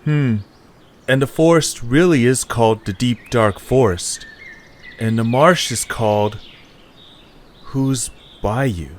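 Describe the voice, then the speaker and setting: A man's voice: mid-range voice, takes it slowly, long pauses, a little hiss or hum, close to an everyday voice. A man voicing an easygoing young male leader with a wry, casual way of talking.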